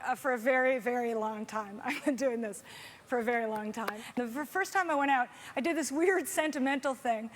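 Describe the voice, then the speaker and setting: A young woman from Canada, whose first language is Hungarian, works a stand-up crowd with a high, bright voice. She rambles, restarts and teases herself. A faint hum sits under the stage mic. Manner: chatty, self-deprecating, lots of fillers and restarts. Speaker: stand-up comedian. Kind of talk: stand-up comedy set. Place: comedy festival stage.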